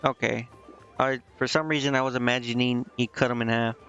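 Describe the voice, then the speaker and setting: A man's voice: mid-range voice, steady tone, a little fast, a bit bright. A man doing casual live game commentary, informally thinking aloud and reading dialogue out as he goes. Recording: dull, band-limited sound with music. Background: game music.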